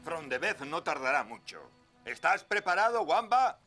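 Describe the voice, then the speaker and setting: A man's voice: fairly high pitch, sing-song, moderate pace, bright, forceful tone, faint music behind, heavily acted. A man voicing a bold knight companion who leads and rallies eagerly. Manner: eager, rallying. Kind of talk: cartoon dub acting.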